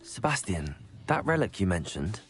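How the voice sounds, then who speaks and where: A man's voice: deep voice, big pitch swings, moderate pace, fairly bright tone. A man acting a brave, supportive student wizard as the hero of a video game. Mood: musing.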